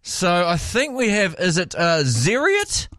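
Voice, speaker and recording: Male breakfast radio host, New Zealand accent, fairly high voice, bantering and casual, tight dry sound. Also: huge pitch swings, a little slow, sharp tone, dry recording. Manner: jokey, bantering. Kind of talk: casual on-air chat.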